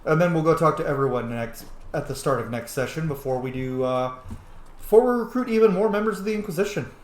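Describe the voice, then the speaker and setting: Casual swearing guy playing a game, a bit high voice, echoey room. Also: fast. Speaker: gaming roleplay host. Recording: echoey room with faint noise.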